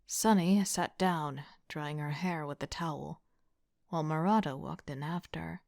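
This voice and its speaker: Audio drama narrator, female actor: young leader, dry-witted, unhurried, lowish soft breathy delivery, close dry sound. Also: no room sound. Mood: introspective.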